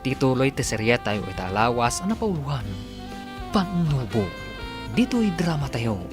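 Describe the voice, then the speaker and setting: A man's voice: mid-range voice, big pitch swings, normal speed. Male radio serial announcer over prominent music. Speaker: radio drama announcer and narrator. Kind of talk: scripted radio serial narration.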